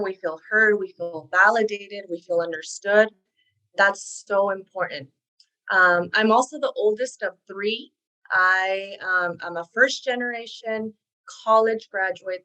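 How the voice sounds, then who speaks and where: A woman's voice: medium pitch, fairly bright tone, low-quality recording. Earnest woman, Spanish native speaker. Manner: earnest, reflective. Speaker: career coach guest. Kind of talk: podcast interview.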